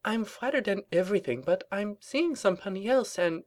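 A man's voice: high-pitched, expressive, brisk, pitched above the performer's own voice. A man voicing a polite, genteel female pony, an airy and slightly hesitant colleague in a story reading. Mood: nervous.

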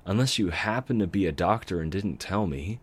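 Twilight Sparkle, a man doing a bright young mare. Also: animated, normal speed, slightly rough. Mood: playful. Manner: bright, kind, raised falsetto. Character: eager young purple unicorn mare, bookish. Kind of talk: fan story reading.

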